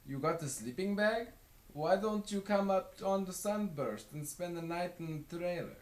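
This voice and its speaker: A man acts out a middle-aged grain elevator owner, talkative and folksy. The voice rides noticeably higher and draws out its storytelling. It sounds like a read-aloud book recorded in a roomy, echoing space. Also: normal speed, lightly acted. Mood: concerned, gruff.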